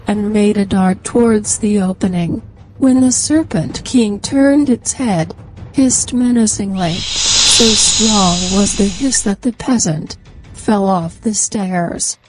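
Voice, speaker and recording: A woman's voice: mid-range voice, a little mellow, rough recording. A woman narrating a scripted fairy tale as a storyteller.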